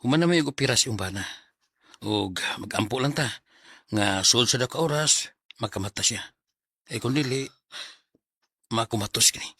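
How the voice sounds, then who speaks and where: A man's voice: mid-range voice, animated, normal speed, long pauses, sharp tone, husky, no room sound, studio quality, heavily acted. A Visayan Filipino man playing a sober, formal hospital doctor in a radio drama. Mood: solemn. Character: grave male hospital doctor delivering news, formal, sober. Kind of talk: Bisaya radio drama acting.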